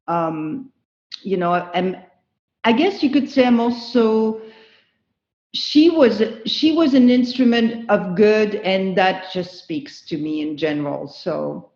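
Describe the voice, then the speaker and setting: Slow, earnest woman. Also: medium pitch, darkish tone, reverberant, highs cut off. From France. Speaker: guest. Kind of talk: interview answers.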